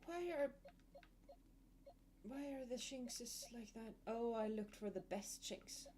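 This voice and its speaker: A woman's voice: on the high side, lively intonation, slow pace, mellow, slight background noise. A woman streamer giving casual gameplay commentary, chatty and muttering asides to herself.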